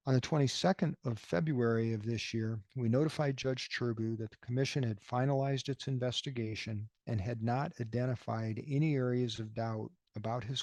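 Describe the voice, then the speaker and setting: A man chairing a public commission hearing, reading procedural statements in a measured, deliberate way. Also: mid-range voice, vocal fry, dry recording. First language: not Romanian. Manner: measured, deliberate official delivery.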